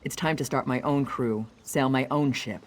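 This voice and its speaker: Actor doing a determined sailor lady from a fantasy game, low voice, steady and sincere. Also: moderate pace, close to an everyday voice. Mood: resolute, warm.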